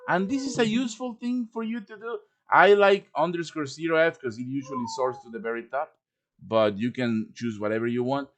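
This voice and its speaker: A man presenting an informal live software tutorial, explaining things step by step with casual asides. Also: medium pitch, brisk. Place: live-streamed voice chat with screen share.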